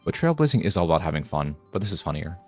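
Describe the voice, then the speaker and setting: A man's voice: deep voice, very animated, racing, soft background music. A man doing casual live gameplay commentary as a streamer, rambling and thinking aloud, close to the microphone. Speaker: game streamer.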